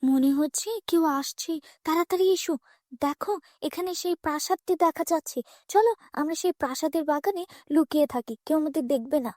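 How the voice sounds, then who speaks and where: A woman plays a cautious elder sister, a young girl in a fairy-tale cartoon. Her voice is high and girlish, anxious and protective, in a dry recording. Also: moderate pace, darkish tone, no room sound, lightly acted. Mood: urgent.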